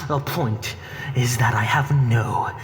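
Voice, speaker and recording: An actor playing a smug, cunning villain with a measured, taunting manner in a science-fiction audio drama. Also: male voice, mid-range voice, slight background noise, natural voice. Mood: defiant. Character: smug, cunning male villain, cornered but unyielding. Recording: long reverb with faint music.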